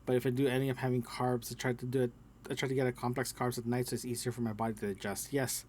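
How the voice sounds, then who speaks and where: A middle-aged man with a gravelly voice recording a casual solo audio log, haltingly thinking aloud and repeating words. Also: medium pitch, little pitch movement, darkish tone, slight background noise. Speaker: podcast host. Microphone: small handheld recorder.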